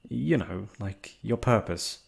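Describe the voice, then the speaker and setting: Man acting out a low, hesitant young noble prince. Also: animated, slow, creaky, slightly rough, exaggerated voice. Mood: sentimental. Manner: hesitant, sighing, soft-spoken formal speech. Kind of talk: romantic fantasy ASMR roleplay acting.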